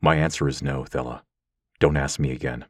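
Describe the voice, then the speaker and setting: A stern winged hunter played by a man speaks in an extremely deep, dry voice. He is a protective older brother in a fantasy audiobook, firm and brooding. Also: fairly even intonation, normal speed, fairly bright tone, no room sound.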